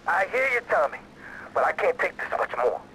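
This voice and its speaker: A man's voice: high-pitched, sing-song, fairly quick, noisy background. A man voicing a volatile, resentful criminal partner in a video game, whiny and emotional.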